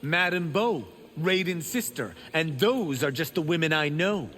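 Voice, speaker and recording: An actor playing a cocky, boastful young martial-arts warrior in a video game. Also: male voice, on the high side, expressive, unhurried, fairly bright tone, big-room sound.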